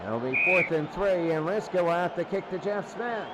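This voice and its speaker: A man's voice: sits high, moderate pace. A man calling live football play-by-play as a sports announcer. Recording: loud crowd noise behind voice. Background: loud stadium crowd.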